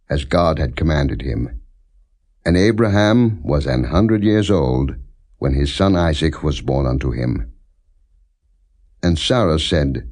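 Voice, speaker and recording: Deep-voiced man reading scripture. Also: a little mellow. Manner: measured, formal, reading in deliberate phrases. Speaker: scripture reader. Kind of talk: solemn non-dramatized audiobook narration of the Bible.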